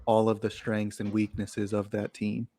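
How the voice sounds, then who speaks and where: A man's voice: fairly low pitch, completely flat delivery, warm tone. A man hosting a sports podcast, talking football casually with lots of "you know" fillers. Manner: conversational, uses "you know" fillers, quick to laugh. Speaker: podcast host.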